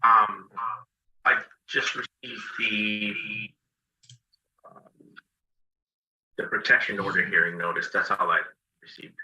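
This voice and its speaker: A man's voice: on the low side, takes it slowly, long pauses, sharp tone, low-quality recording. A man responding in a court hearing, answering formally and hesitantly. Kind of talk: court testimony.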